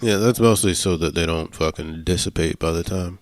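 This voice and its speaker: A man's voice: sits low, normal speed, a little hiss or hum. A man co-hosting a casual movie podcast, speaking informally with plenty of swearing. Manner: profane, informal. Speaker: podcast co-host. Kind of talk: casual movie review chat.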